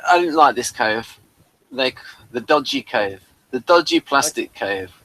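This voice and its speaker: A man co-hosting a podcast with casual episode commentary, halting and repeating words as he thinks. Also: mid-range voice, animated, a bit bright, poor audio. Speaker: podcast co-host.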